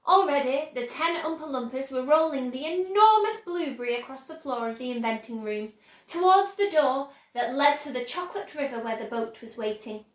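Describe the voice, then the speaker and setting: A woman reading a children's book aloud, animated and voicing the characters. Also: sits high, moderate pace. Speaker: storyteller. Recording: roomy echo.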